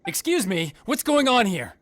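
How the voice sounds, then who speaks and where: A man's voice: sits high, very animated, normal speed. A man voicing a dutiful soldier on guard in a video game, speaking in a formal, official manner. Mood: alert. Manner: official, crisp wording. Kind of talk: game cutscene dialogue.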